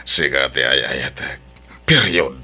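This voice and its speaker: A man's voice: a bass voice, animated, takes it slowly, over loud music, exaggerated voice. A man with an Ilocano accent playing a cheating husband who coaxes his mistress and waves off her worries. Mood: coaxing. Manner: coaxing, dismissive. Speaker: voice actor in an audio drama. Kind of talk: Ilocano audio drama acting.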